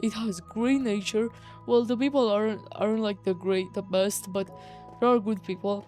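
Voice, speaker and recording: Female high schooler, Romanian accent, mellow tone, exasperated slangy vlog rant, music underneath. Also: fairly even intonation, moderate pace. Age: teenager, high schooler. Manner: exasperated, slangy, emphatic with swearing. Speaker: vlogger. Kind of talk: casual personal rant.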